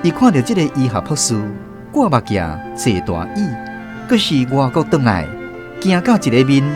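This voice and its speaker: Man narrating radio drama, lively pitch, mid voice, clear music behind. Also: moderate pace. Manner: expressive storytelling. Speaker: host and narrator. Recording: music playing under the voice.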